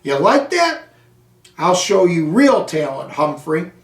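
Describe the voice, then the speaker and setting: Jovial storybook cleaning man read by a male narrator, chatty, folksy and animated, in a roomy echo. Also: high-pitched, slow, vocal fry, full character voice. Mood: jovial, showy. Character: friendly, jovial male night janitor with a mustache. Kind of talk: children's storybook character voice. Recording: roomy echo, distant microphone.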